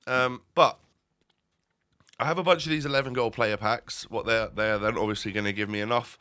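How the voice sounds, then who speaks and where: Bright-voiced congested man rambling. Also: mid-range voice, normal speed. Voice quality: nasal, congested. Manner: rambling, many fillers and restarts. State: stuffy, bunged up. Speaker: gaming YouTuber. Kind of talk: casual gameplay commentary.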